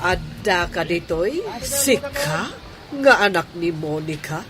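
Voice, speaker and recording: A woman's voice: lowish voice, expressive, takes it slowly, music in the background. A woman playing a nosy, ambitious middle-aged mother in a radio drama, with an Ilocano accent. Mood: pushy.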